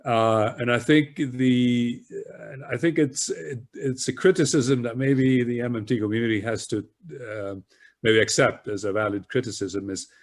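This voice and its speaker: A man hosting an interview, speaking hesitantly with many fillers and trailing off softly. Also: mid-range voice, steady tone, moderate pace. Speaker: host. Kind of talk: conversational interview.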